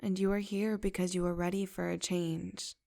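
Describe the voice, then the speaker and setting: A woman leading a guided meditation in a soft, unhurried, affirming way. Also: lowish voice, little pitch movement, creaky.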